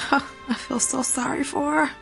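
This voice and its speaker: A woman's voice: high voice, fairly even intonation, slow, vocal fry, fairly bright tone, faint music behind, full character voice. An actress playing a gossipy female clinic worker in a radio drama, with a wry, conspiratorial, mocking manner. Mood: mock pity.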